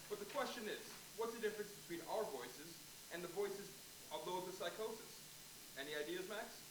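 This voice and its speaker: A man's voice: high voice, animated, a little fast. A man playing a joking, affectionate husband and father in a staged play reading, distant in an echoey, noisy theatre. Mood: explaining. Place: indoor stage of a university theatre.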